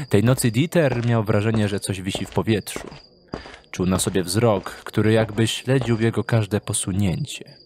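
Male narrator of a dark fantasy audio drama. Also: fairly low pitch, a little hiss or hum.

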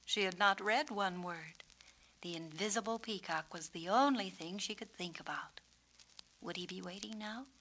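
A woman tells a children's story in an expressive reading style. Her medium-pitched voice is bright and forward, heard on a 1970s record with faint background noise. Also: moderate pace, poor audio. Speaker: author and narrator.

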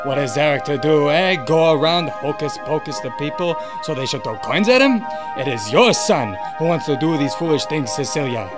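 A man's voice: on the high side, lively intonation, normal speed, few pauses, lightly acted. An actor playing a stern, scornful immigrant father in a radio drama. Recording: music playing underneath.

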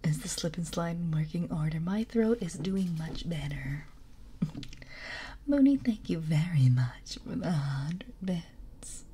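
Low raspy lady whispering slow asmr on stream, giggly and intimate. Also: animated, warm tone. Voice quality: raspy, deep voice.

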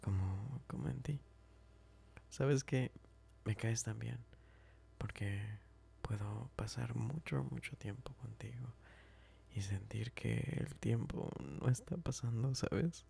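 Guy playing a smitten best friend in asmr, soft mellow voice with some creak, whispery, affectionate n teasing. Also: medium pitch, a little hiss or hum. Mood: tender. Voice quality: soft, breathy, often whispering. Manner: slow, hesitant, affectionate, repeats himself. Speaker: ASMR roleplay creator. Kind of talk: ASMR roleplay, intimate chat.

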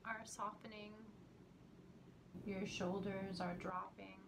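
A woman leading a guided meditation in a soothing, gentle manner, leaving long pauses between short phrases. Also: mid-range voice, flat delivery. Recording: clearly noisy recording with ambient sound.

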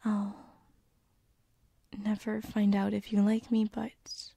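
A woman plays a tender succubus who haunts bedrooms and is shyly in love. She whispers slowly and very breathily, hesitating softly. The sound is dry and intimate, an ASMR roleplay on a binaural mic. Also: mid-range voice, completely flat delivery, dry recording, heavily acted. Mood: wistful, shy. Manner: soft, hesitant, tender, with breathy giggles and sighs. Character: gentle lovesick female sleep paralysis demon, succubus, shyly affectionate. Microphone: close binaural microphone.

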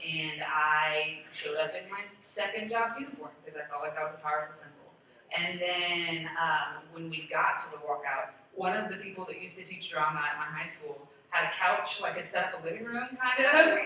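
A woman's voice: sits low, bright, forceful tone. A young adult woman, a teacher, reflecting casually as a member of a discussion panel. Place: large furnished meeting room. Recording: very echoey room, distant, noisy.

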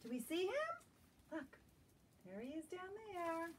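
A woman's voice: very high-pitched, wildly swooping pitch, a little hiss or hum. A woman around her forties reading a picture book expressively to children, as if at a library storytime. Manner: slow, questioning asides to young listeners. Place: small indoor room lined with bookshelves. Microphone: camera a few metres away. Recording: distant camera mic in a room.

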